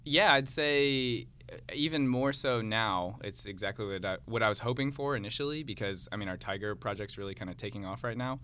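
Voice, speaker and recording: A man's voice: on the low side, fairly quick, pushed and bright, clear, resonant tone. A young man from the United States, an MBA student guest, talking in a laid-back way with dry humor. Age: young adult. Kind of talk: casual interview chat.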